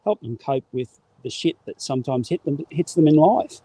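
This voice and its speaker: A man's voice: on the high side, animated, moderate pace, warm tone, breathy edge, no room sound. A man with an Australian accent speaking as a guest in a casual chat, easygoing and sincere, laughing along.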